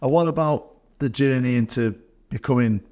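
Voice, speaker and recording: A man's voice: medium pitch, normal speed, a little mellow, dull audio. A man hosting a podcast interview, asking encouraging questions in an upbeat way. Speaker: podcast host.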